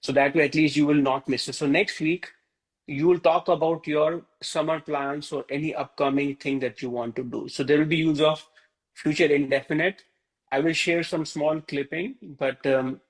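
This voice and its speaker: A man from India leads an online Hindi class over a video call. He rambles and fills his talk with "you know" and "like". His voice sits fairly high for a man. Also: fairly even intonation, moderate pace, poor audio. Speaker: Hindi teacher.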